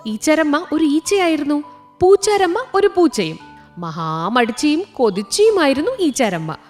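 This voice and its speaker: High, animated woman telling a children's story. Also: normal speed, soft background music. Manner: expressive, with sound words. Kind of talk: scripted children's story narration.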